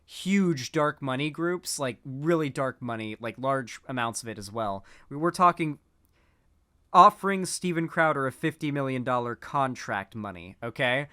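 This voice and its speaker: A man's voice: mid-range voice, sharp tone, smooth. A man giving casual political commentary, rambling with frequent restarts.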